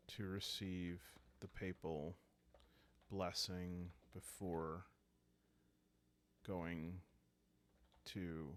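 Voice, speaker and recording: A man calmly improvising a solo roleplaying story for sleepy listeners, thinking aloud in short, halting phrases. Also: low-pitched, clear, resonant tone. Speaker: host and solo player of a journaling roleplaying game.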